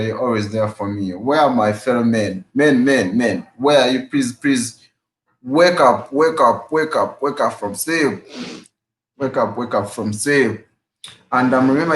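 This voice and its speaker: A man hosting a casual fitness live stream, greeting viewers by name and repeating his phrases with energy. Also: medium pitch. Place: his room at home after work. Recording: echoey room.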